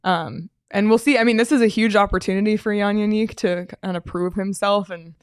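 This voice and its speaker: A woman hosting a hockey talk show, guiding the conversation in a relaxed, casual way with frequent fillers. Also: mid-range voice, brisk, smooth, no room sound, studio quality. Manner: steady, uses fillers, leads the segment. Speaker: sports show host.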